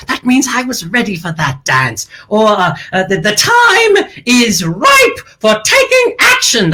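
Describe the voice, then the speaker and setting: A woman's voice: fairly high pitch, bright, forceful tone, heavily acted. A woman playing a flamboyant, self-adoring children's TV hostess who talks theatrically, stretches her words and breaks into cackles. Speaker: children's show host parody. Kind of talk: comic character sketch.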